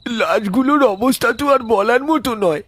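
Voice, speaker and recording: A man's voice: very high voice, lively intonation, normal speed, fairly bright tone, no echo, heavily acted. A man playing a fearful, pleading villager in a cartoon horror story, repeating his words anxiously.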